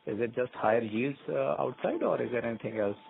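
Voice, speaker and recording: Man, mid-pitched gravelly voice, thin telephone sound, analyst caller asking questions. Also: expressive, fairly quick. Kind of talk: questions on an earnings call. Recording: noisy, narrow phone line.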